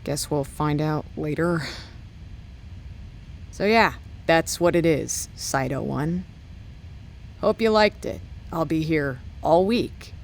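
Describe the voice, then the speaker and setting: A woman's voice: low-pitched, slow, vocal fry, pauses often, a little hiss or hum, full character voice. A woman playing a bored, sarcastic radio operator in an audio drama, talking in a flippant, informal way.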